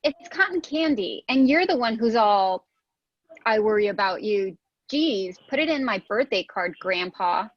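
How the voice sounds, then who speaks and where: A woman's voice: mid-range voice, expressive, measured pace, dry recording. A woman playing a defensive, mocking young sitcom woman in a script read with dull lo-fi audio. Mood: snippy, defensive.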